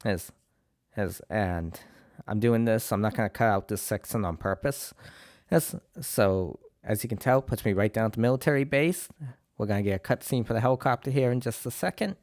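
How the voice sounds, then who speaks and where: A low-pitched man with a New England accent and a slight lisp, giving a casual walkthrough of a game. He has a cold and rambles easily, with plenty of "uh"s and restarts. The recording is close and dry. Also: moderate pace, no echo. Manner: relaxed and rambling, many "uh"s and restarts. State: has a cold, clearing his throat. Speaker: game guide creator. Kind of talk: casual gameplay walkthrough commentary.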